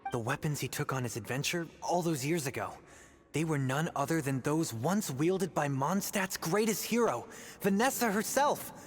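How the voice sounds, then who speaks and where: An actor playing an earnest young rookie adventurer in a video game, eager to prove himself. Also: male voice, fairly high pitch, expressive, moderate pace, close to an everyday voice. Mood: pleading. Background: game music.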